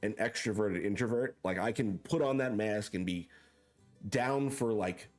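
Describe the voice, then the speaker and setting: Man, host, lowish voice, loud, jokey, self-deprecating, faint music behind. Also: a little slow. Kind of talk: casual interview chat.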